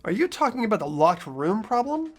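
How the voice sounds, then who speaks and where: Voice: mid-range voice, sing-song, a little fast, faint music behind. A voice actor doing an earnest young detective. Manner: earnest, inquisitive. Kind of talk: fan voice acting of a game.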